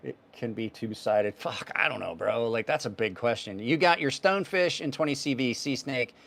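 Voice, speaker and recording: A man's voice: medium pitch, moderate pace, vocal fry, fairly bright tone. A man in his fifties hosting a knife livestream, answering viewers' questions in a rambling, offhand way. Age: 50s. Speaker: knife channel host. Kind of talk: casual livestream chat.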